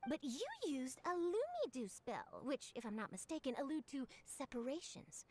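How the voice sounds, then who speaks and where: A woman's voice: high-pitched, big pitch swings, moderate pace, darkish tone. A woman voicing a polite, inquisitive young journalist in a game, formal and precise. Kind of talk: game cutscene voice acting.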